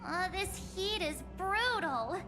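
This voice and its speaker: A woman's voice: very high voice, expressive, slow, heavily acted. An actress voicing a perky young girl traveler who grumbles lightly. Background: game music.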